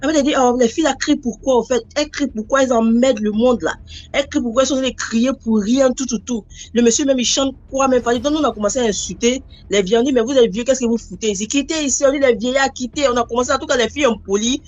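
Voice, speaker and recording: A woman's voice: fairly high pitch, fast, darkish tone, lo-fi sound. A woman with an Ivorian French accent telling a personal story in a rambling, casual way, repeating words as she goes. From Ivory Coast.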